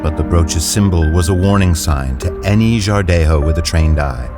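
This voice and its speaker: A man narrating an audio drama in a measured, descriptive way. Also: sits low. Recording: clear music bed underneath.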